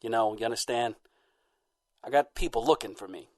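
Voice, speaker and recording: A man's voice: medium pitch, a little fast, darkish tone, no room sound, studio quality, close to an everyday voice. A man playing a defensive, shifty backwoods preacher with folksy rural speech, for an audio comedy. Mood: wary.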